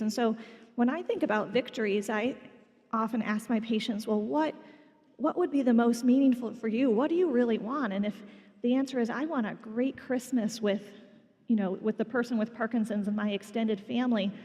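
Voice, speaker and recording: Gentle woman clinician, higher voice. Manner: gentle, reassuring. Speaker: clinician on a panel. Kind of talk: panel talk at a symposium. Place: conference hall. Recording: long reverb in a hall.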